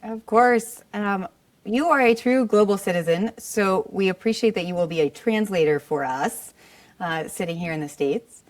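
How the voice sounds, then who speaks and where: A woman's voice: medium pitch, moderate pace. A woman co-hosting a podcast, politely welcoming and introducing her guest. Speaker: podcast co-host. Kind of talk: podcast interview.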